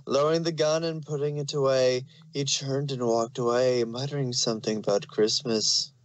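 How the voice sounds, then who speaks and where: A man's voice: mid-range voice, steady tone, moderate pace, poor audio. A man narrating fan fiction in an amateur dramatic reading style.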